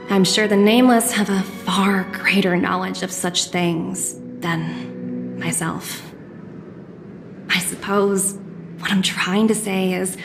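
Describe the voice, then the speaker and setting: A poised young businesswoman in a video game, cultured and courteous. She speaks in measured, articulate sentences at a medium pitch, with music clearly behind her.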